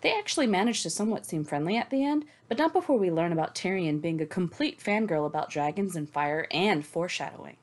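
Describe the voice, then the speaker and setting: A woman's voice: on the low side, lively intonation, quick pace, few pauses, darkish tone. A woman co-hosting a book discussion, casual and sweary, with a sarcastic, emphatic delivery. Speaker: podcast co-host giving recaps. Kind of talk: sarcastic, scripted-sounding book recap and discussion.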